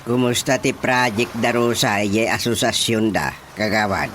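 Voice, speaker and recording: A man's voice: on the low side, fairly even intonation, a little slow, natural voice. An actor with an Ilocano Filipino accent playing a sympathetic village man in a radio drama. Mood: concerned. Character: sympathetic village man asking after projects. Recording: music bed behind the voice.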